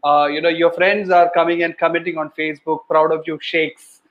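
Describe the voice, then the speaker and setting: A man hosts an interview, putting his questions in a friendly way with fillers. His voice sits a bit high for a man. The audio is dull and muffled. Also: level tone, brisk. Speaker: interview host.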